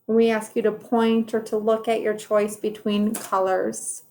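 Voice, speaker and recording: A woman's voice: mid-range voice, little pitch movement, measured pace, warm tone. A woman teacher reading a children's storybook aloud, explaining it directly to young listeners.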